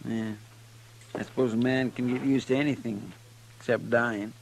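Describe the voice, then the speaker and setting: A man's voice: medium pitch, steady tone, normal speed, gravelly, natural voice. A man playing an earnest, folksy deputy sidekick in a 1950s radio western, hesitant and deferential. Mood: musing. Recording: faintly noisy old radio recording.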